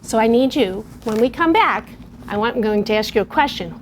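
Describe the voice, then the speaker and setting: A woman teacher talks to young children in a clear, patient way, her voice sitting a little high. She sounds middle-aged. A faint hiss sits under the recording. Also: lively intonation, brisk. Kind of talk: classroom lesson and read-aloud for children. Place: television studio or classroom.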